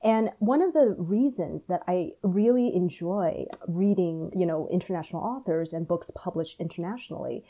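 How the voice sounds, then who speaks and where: Taiwan-born woman hosting a podcast, lowish voice, calm and thoughtful, tinny phone sound. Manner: thoughtful and measured. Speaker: podcast host. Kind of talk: casual conversation.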